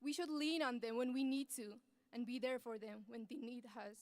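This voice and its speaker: Young woman from afghanistan giving her grad speech, high clear voice, earnest, podium mic, dry sound. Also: fairly even intonation, darkish tone, no room sound. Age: young adult. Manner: earnest, measured speech delivery. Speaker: graduating student speaker. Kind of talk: graduation speech. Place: large ceremony venue.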